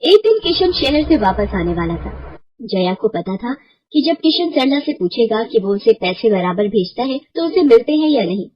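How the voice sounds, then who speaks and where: A woman reads scripted story narration. Her voice sits fairly high and has a clearly husky texture, in the role of a storyteller. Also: very animated, moderate pace, dull audio.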